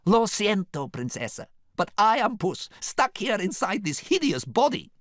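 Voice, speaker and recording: A proud, melodramatic storybook cat, voiced by a man. The voice is lifted well above normal speech, with sweeping pitch. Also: sing-song, unhurried, a bit bright, dry recording, studio quality, heavily acted. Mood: aggrieved apology. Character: proud, dramatic Spanish-speaking male cat in donkey's body.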